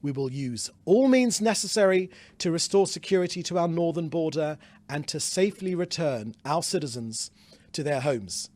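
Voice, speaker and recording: A man's voice: a little high, lively intonation, normal speed, a little mellow, no echo. A man delivering a formal official statement.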